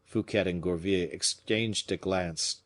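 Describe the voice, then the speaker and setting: A man narrating a classic novel as an audiobook reader, measured and expressive. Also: low voice.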